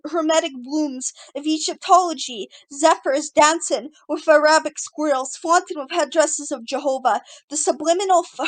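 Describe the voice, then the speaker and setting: A woman reading her own surreal poetry aloud in an incantatory, list-like way, stumbling over some words. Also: high-pitched. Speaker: poet reading own work.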